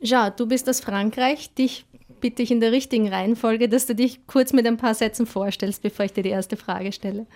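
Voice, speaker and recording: A woman's voice: a little high, fairly even intonation, normal speed, darkish tone. A woman moderating a panel interview, asking the guests polite, structured questions.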